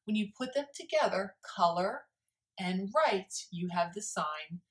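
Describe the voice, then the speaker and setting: A woman's voice: medium pitch, expressive, unhurried. A middle-aged woman teaching signs step by step, recorded in a living room. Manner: clear step-by-step instruction. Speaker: sign language teacher. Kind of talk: instructional demonstration. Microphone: camera a few feet away. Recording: distant microphone in living room.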